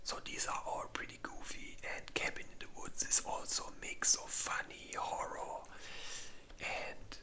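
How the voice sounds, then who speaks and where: Slow, breathy ASMR whisper. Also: pushed and bright. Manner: informal, slow, halting delivery with pauses. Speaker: ASMR video host. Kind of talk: whispered ASMR movie ranking, casual rambling.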